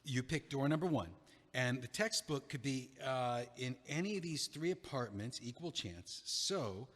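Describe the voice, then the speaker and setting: A man's voice: mid-range voice, lively intonation, measured pace, a bit bright. A man playing a heartbroken, stubborn young guy in an audio play, earnest and exasperated, with a hesitant way of talking. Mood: explaining, hesitant. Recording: long echoing hallway reverb.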